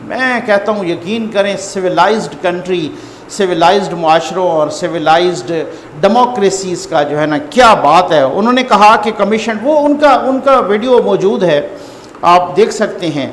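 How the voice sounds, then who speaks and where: A man with a Pakistani accent speaks in a high-pitched voice as an informal political news commentator. He is conversational and repeats phrases to stress them. The room is very echoey, with faint noise behind him. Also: moderate pace.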